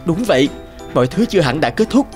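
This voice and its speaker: An earnest man of an inventor's family in a cartoon drama. His pitch moves a lot, and music plays under the voice. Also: very animated, fast talker.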